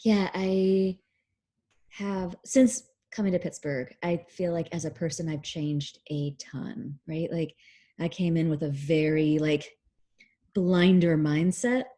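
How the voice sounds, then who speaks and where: A woman in her thirties from Oklahoma, a physician talking casually as a guest on a video call, relaxed and chatty with many fillers. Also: low voice, takes it slowly. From Oklahoma, USA.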